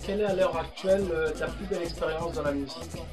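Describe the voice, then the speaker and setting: Interviewer, medium pitch, halting questions, many fillers, music behind. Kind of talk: studio interview.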